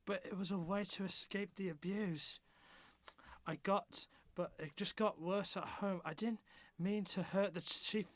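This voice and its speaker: A man playing a wounded, abused circus outcast confessing haltingly in a radio drama monologue. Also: sits high, fairly even intonation, dry recording. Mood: sorrowful confession. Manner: halting, repeats words.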